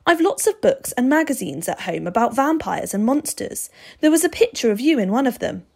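A woman's voice: fairly high pitch, very animated, fairly quick, vocal fry, darkish tone, voice pushed up, heavily acted. A woman voicing an eager, stubborn, fearless schoolboy who speaks earnestly and insistently in a storybook reading.

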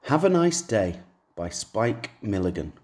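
A poetry reader reciting comic verse with a lively, expressive delivery. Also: medium pitch, unhurried, a little hiss or hum.

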